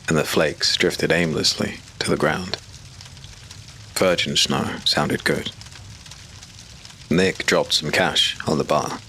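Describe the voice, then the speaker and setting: A man narrating a dark fantasy audiobook in a steady, measured way. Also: rumbling low, a little hiss or hum.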